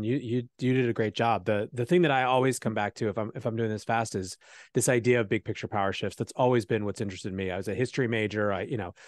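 A man answering as a podcast guest, talking quickly and fluently with lots of "you know.". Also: lowish voice, dry recording. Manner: rapid, fluent with frequent "you know" fillers. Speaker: podcast guest, show host. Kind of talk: conversational interview answer.

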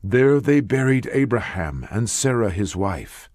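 A man narrating scripture as an audiobook, with a solemn, measured and declamatory delivery. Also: lowish voice, sing-song, darkish tone, no echo, clean studio sound.

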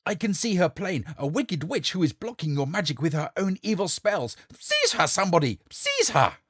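A man playing a shrill, scheming charlatan. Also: sing-song, moderate pace, no echo, clean studio sound. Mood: shrieking. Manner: wheedling, then shrieking and bullying. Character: scheming cowardly male charlatan, shrill when scared.

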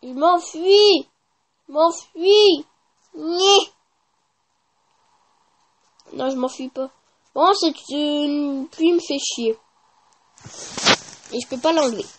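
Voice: high voice, extremely slow, pauses often. A gaming YouTuber giving casual, informal gameplay commentary with slang and swearing.

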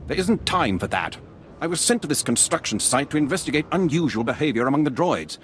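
An actor playing a stern, indignant older military veteran in a video game. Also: male voice, on the high side, animated, a little fast, fairly bright tone, a little hiss or hum. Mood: urgent, indignant. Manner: stern, grumbling, earnest. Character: indignant veteran male Imperial officer, older, disgruntled, grave. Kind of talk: video game cutscene acting.

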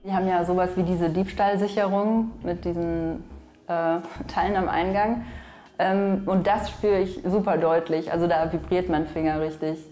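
A woman gives a casual interview explanation. Her voice is dark and fairly low, with little pitch movement. The room is clearly echoey. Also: fairly even intonation, normal speed. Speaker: interviewee, software developer.

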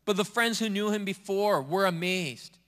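Pastor, male, higher register, earnest repetitive preaching in a church hall with a long echo. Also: moderate pace, a bit bright. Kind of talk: sermon. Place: church sanctuary.

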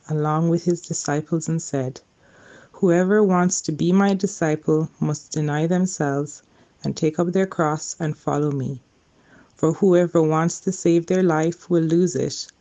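A woman's voice: low-pitched, dark tone. A woman giving a formal Bible reading as a church lector. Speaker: scripture reader.

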